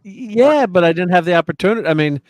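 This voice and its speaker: A man's voice: sits high, expressive, quick pace, no room sound. Male host, casual rambler, lots of fillers, dry close mic. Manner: rambling, full of fillers and restarts. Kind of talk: casual chat.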